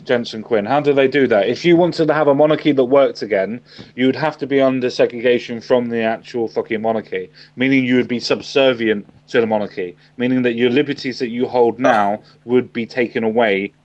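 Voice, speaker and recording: A man's voice: mid-range voice, fairly even intonation, fairly quick, darkish tone. An English man hosting a live stream, sleep-deprived and a little drunk, teasing and swearing as he talks. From England. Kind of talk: casual political banter. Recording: dull lo-fi stream audio.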